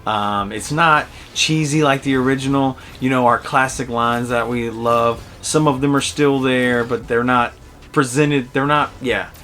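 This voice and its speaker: A man's voice: mid-range voice, steady tone, measured pace, pushed and bright, slight background noise. A man giving a casual, enthusiastic video game review in a conversational way. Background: game music behind the voice.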